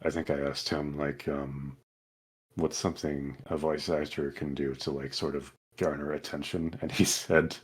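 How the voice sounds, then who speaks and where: Very deep, husky man chatting. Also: flat delivery, moderate pace. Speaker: podcast panelist. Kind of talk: casual chat.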